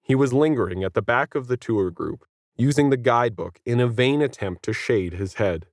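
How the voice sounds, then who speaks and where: A man's voice: mid-range voice, normal speed, no room sound, clean studio sound. A man reading scripted fiction as a story narrator.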